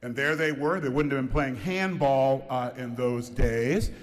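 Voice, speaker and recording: A man's voice: mid-range voice, unhurried. A man serving as head of school gives an informal sermon to a church congregation, chatty and joking with people by name. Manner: warm, chatty, jokes with the audience by name. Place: large church sanctuary. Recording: long reverb of a church hall.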